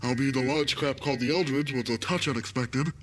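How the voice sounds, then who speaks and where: A man's voice: mid-range voice, brisk, natural voice, rough recording. A man playing a dismissive, evasive alien intelligence official in a science fiction audio drama. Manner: dismissive, hedging.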